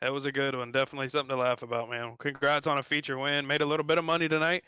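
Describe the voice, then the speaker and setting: A man's voice: medium pitch, flat delivery, racing, bright, forceful tone, clean tone, dry recording. A man interviewing drivers on a sim racing broadcast, easygoing and folksy as he retells the action.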